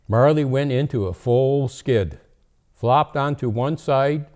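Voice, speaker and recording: A man playing a jolly, gentle old Santa Claus, reading storybooks and chatting in an unhurried, deliberate way. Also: medium pitch, lightly acted. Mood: storytelling. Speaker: storyteller host. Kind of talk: storybook reading and casual chat.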